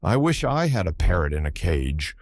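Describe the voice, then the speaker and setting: A man voicing a dreamy, gentle little girl in an audiobook story, with a wistful, murmuring manner. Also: sits low, very animated, normal speed, dry recording.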